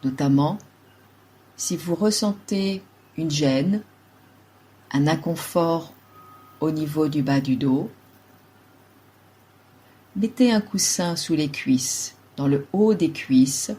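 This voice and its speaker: A woman guides a relaxation meditation as a yoga nidra teacher, giving calm, measured instructions in short phrases. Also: low-pitched, a little hiss or hum, rough recording.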